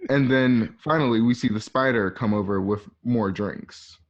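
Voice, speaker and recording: A man's voice: mid-range voice, normal speed, highs cut off. A man narrating scripted stage directions for a radio drama.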